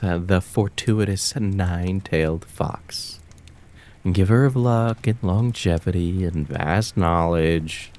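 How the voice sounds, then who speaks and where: Slow, very deep, menacing fox spirit, acted by a man. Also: a little mellow. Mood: mocking, scornful. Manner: slow, menacing, mocking, with drawn-out words. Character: cruel, taunting kitsune fox spirit of the woods. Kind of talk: ASMR roleplay acting.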